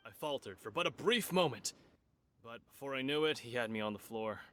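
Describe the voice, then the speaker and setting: A man's voice: medium pitch, lively intonation, fairly quick, a bit bright, no room sound, natural voice. A man playing a courteous, effusive and rueful martial-arts contestant in a video game. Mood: courteous, admiring. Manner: effusive, wordy, formal phrasing. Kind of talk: video game character acting. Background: game music.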